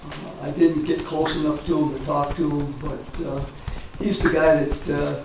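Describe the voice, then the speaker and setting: An American man in his seventies, a war veteran reminiscing informally in a halting, rambling way with frequent pauses. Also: fairly high pitch, flat delivery. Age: 70s. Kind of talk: informal reminiscence at a public talk. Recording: echoey, distant mic, clear background noise.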